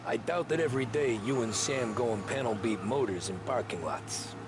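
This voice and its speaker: An actor playing a reserved young recruit who answers in a guarded, terse way. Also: male voice, medium pitch, moderate pace, background noise, close to an everyday voice.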